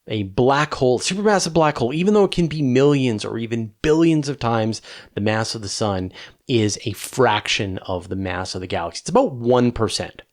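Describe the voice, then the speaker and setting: A man's voice: medium pitch, expressive, moderate pace. Middle-aged man explaining, conversational and emphatic. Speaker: host of a space question-and-answer show.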